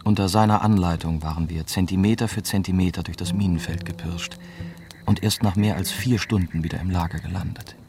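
A man's voice: deep voice, fairly even intonation, fairly quick, music in the background. An actor playing a young German journalist, weary and shaken, who narrates his war memories reflectively in a radio drama. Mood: grave, shaken. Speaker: narrator and lead character.

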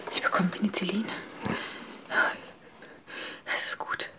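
An actress playing an anxious, protective older sister in a radio drama, breathy and often dropping into whispers. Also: female voice, slow, close to an everyday voice. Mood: shaken. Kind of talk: German radio drama acting.

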